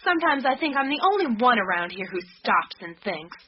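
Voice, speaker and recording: A woman's voice: fairly high pitch, big pitch swings, fairly quick. An actress playing a defiant, skeptical young woman in a radio drama, with a blunt, exasperated, sardonic manner. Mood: defiant, dismissive. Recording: muffled radio recording with clicks and hiss.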